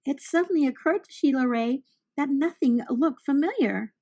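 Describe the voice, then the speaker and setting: A woman reading a children's storybook aloud, narrating with deliberate, expressive phrasing for young listeners. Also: high-pitched.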